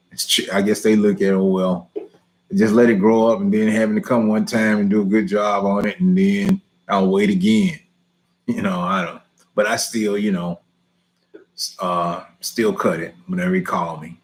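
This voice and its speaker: A man's voice: deep voice, level tone, normal speed. A middle-aged man from Houston with a Southern Texas accent, casually answering viewers' lawn care questions, laughing often. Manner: easygoing, laughs often, reads questions aloud then answers. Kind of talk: casual live Q&A chat.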